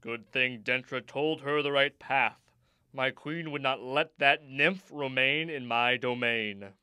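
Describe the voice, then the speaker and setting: Haughty ruler of the dead, a man acting, bright, smooth, composed. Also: mid-range voice, slow pace, dry recording. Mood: cool. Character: composed, haughty god of the underworld. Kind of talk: audio drama acting.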